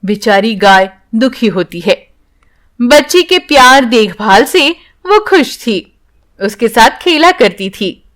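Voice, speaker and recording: A woman narrates a children's story in a high-pitched voice. She takes it slowly, delivering scripted lines as the storyteller. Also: lively intonation, a bit bright.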